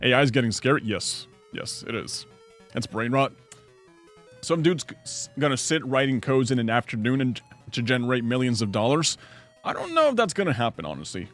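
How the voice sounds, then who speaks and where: A young adult man streaming from home, rambling casually through chat questions and answering his viewers. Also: mid-range voice. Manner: rambling, chatty, reads chat aloud and answers.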